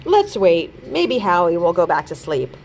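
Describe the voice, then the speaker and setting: Woman reading as easygoing schoolboy, lively intonation. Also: fairly low pitch, measured pace, slight background noise. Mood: patient, resigned. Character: easygoing, patient fifth-grade boy, sensible big brother.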